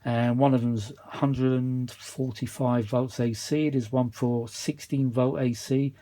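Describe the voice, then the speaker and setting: A man with a mid-pitched voice chats casually as he works. He rambles without a script, grumbling in a mildly weary way, like a hobbyist repair vlogger talking through a job. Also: steady tone, slow pace, mellow. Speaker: vintage audio repair vlogger. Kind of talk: casual unscripted workshop chat.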